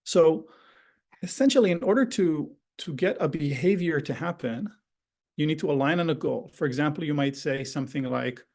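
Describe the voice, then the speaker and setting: A man's voice: fairly high pitch, lively intonation, moderate pace, darkish tone. Guy teaching a parenting class on a video call, hebrew is his first language, chatty, keeps saying right?. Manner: conversational, often adds "right?". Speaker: parenting educator. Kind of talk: online parenting workshop talk.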